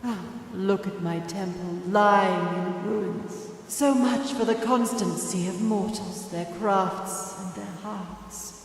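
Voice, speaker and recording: A commanding divine spirit. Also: female voice, medium pitch, slow pace, creaky, hardly any pauses, slight background noise. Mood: solemn. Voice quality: grand, solemn delivery. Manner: commanding, speaks in decrees. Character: imperious female divine spirit, ageless and commanding. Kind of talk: video game character dialogue. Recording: echoey game audio heard from far off.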